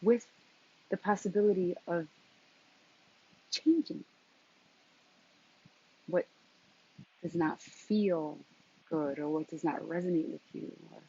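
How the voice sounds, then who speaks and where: A woman speaking as a spiritual coach in a motivational talk, deliberately, in short phrases with emphatic repetition and a husky voice. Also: fairly low pitch, dark tone. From New York, of Colombian background. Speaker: transformative coach and intuitive guide. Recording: dull, lo-fi sound.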